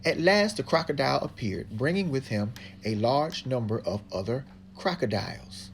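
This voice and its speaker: A woman's voice: extremely low pitch, expressive, moderate pace, a little hiss or hum. A woman reading a storybook aloud as the narrator. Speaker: narrator, reading partner to a child.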